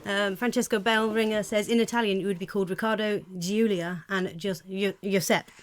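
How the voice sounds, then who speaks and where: A woman's voice: mid-range voice, steady tone, a little fast, background noise. A woman co-hosting a comic live chat show, chatting casually and reading out viewer comments. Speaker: co-host.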